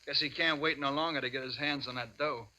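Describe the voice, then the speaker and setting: An obedient mob henchman from a forties radio play. The talk is rapid and clipped, in tough-guy slang, with a hard, forceful edge. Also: medium pitch, fairly even intonation, rough recording. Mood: eager, businesslike. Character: eager, obedient gangster henchman. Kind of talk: 1940s radio drama acting.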